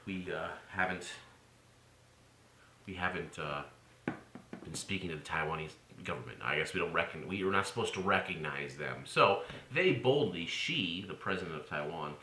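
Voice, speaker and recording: A man's voice: low-pitched, sharp tone. An American man giving an informal political commentary, opinionated and sarcastic in his delivery. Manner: opinionated, sarcastic, swings into mocking exclamations. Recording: roomy echo with faint noise.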